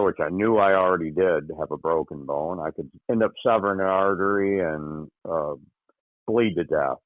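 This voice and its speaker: A man from Michigan, an interview guest, recounting a hunting accident in casual, halting speech with frequent pauses. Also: low-pitched, dry recording. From Michigan, USA. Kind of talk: casual spoken recollection. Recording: tinny phone line, dry.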